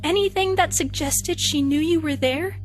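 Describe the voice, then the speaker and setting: A woman voicing a caring, professional female pony doctor with a soft, consoling manner. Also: high voice, moderate pace, soft background music, voice pushed up, lightly acted. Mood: consoling, distressed.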